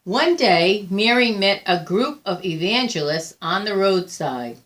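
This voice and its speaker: A woman reading a children's picture book aloud with deliberate, expressive phrasing. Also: mid-range voice. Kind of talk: read-aloud children's storytelling.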